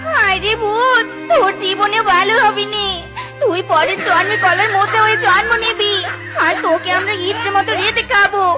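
Squeaky, feisty cartoon animal wife over music. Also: female voice, moderate pace. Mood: indignant cursing. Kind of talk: exaggerated children's cartoon dialogue.

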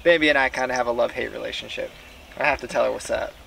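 A man's voice: medium pitch, steady tone, very fast. A man in his thirties chatting casually on his rural homestead, easygoing and joking. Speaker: homesteading vlogger. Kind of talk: casual outdoor chat. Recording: outdoor camera audio with clear background noise.

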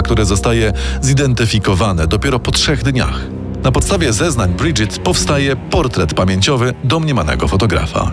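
A man delivering scripted, dramatic true-crime narration as the show's narrator. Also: mid-range voice.

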